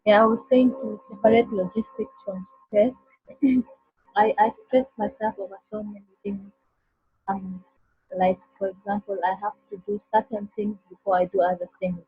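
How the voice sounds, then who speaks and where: A mother joins a group course by video call and shares in an earnest, measured way. Her voice is very husky. The audio is dry and muffled, with faint music behind her. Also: medium pitch, steady tone, dry recording. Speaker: course participant.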